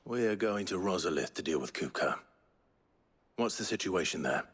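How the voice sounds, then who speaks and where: A man playing a grim, resolute warrior lord in a scripted game cutscene, with a gruff, husky voice and terse, serious delivery. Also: low-pitched, expressive, normal speed.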